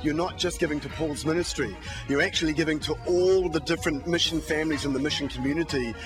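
Missionary dad in an interview clip, bit high voice, music in the background. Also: male voice, quick pace, poor audio. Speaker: missionary parent. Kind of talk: interview in a ministry video.

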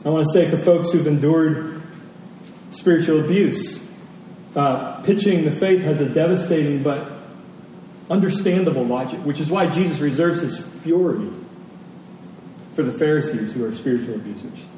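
A man's voice: fairly high pitch, normal speed, warm tone. Man preaching in a very echoey hall. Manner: emphatic repetition of key phrases. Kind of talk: conversational sermon. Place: large reverberant room. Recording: very echoey hall, distant mic, faint noise.

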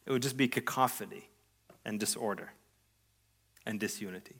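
Earnest male preacher, measured delivery. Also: lowish voice, fairly even intonation, creaky, husky. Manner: earnest, measured preaching with sweeping rises and soft trailing endings. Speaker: pastor. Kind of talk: sermon.

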